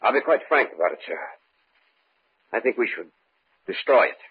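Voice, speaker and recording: An actor playing an uneasy, earnest research scientist in old-time radio horror style. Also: male voice, medium pitch, lively intonation, moderate pace, husky. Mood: earnest, urgent. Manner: earnest, urgent pleading. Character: Emery, uneasy male research scientist keeping a diary. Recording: muffled noisy vintage radio recording.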